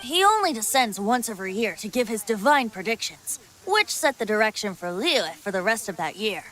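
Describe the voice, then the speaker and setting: A woman voicing a youthful male wind god and bard in a video game, whimsical and gently wise, with a light boyish voice. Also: medium pitch, big pitch swings, rough recording. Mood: serious. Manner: wistful, playful, measured storytelling.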